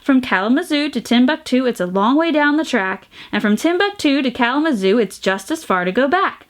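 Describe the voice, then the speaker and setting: A woman hosting a children's storytime, reading aloud in an animated, sing-song way with exaggerated emphasis and playful sound effects. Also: high-pitched, a little fast. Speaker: storytime host reading a picture book aloud.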